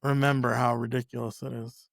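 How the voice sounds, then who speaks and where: A man with a gravelly, creaky voice talks casually as a podcast guest. His pitch is ordinary for a man, he tends to repeat himself, and the recording is dry. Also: level tone, brisk, dry recording. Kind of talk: casual sports chat.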